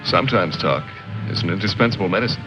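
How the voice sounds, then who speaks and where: An actor playing a reflective, wry army lieutenant in a mid-century film drama, articulate and measured. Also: male voice, low-pitched, animated. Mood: resigned. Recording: muffled old film soundtrack with music.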